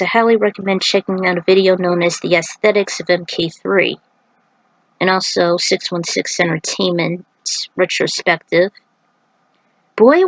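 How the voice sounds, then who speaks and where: A woman's voice: medium pitch, no room sound, poor audio. Woman reading her own story, dry sound. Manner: informal, stumbles over words and corrects herself. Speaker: fan fiction writer and narrator. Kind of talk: amateur story reading with casual author commentary.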